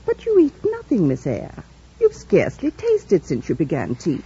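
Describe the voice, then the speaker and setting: An actress playing a kindly, gossipy older housekeeper in an old radio drama. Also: female voice, lowish voice, huge pitch swings, moderate pace, warm tone, heavily acted. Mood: fussing. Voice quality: husky. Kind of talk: 1940s radio drama acting. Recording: dull old radio recording.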